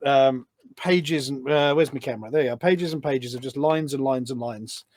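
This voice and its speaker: A man's voice: medium pitch, moderate pace, a little mellow. A man chatting casually as a podcast guest, an enthusiastic storyteller who rambles along from one detail to the next. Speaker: podcast guest, game voice pack producer. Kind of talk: casual chat, anecdotal.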